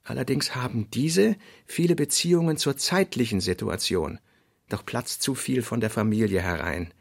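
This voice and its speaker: A man reading scripted literary narration for radio in a measured, precise way. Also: medium pitch, lively intonation, vocal fry.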